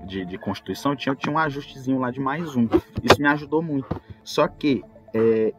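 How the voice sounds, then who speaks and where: A man with a Brazilian accent in casual podcast chat, telling things in an animated way with rhetorical questions. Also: mid-range voice, fairly quick, heavy background noise. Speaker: tabletop role-player, podcast speaker. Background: music.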